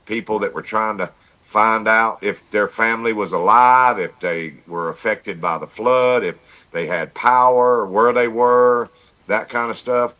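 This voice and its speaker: Folksy man with a bright, forceful voice. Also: fairly low pitch, measured pace. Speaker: amateur radio operator, livestream guest. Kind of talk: casual storytelling on a livestream chat.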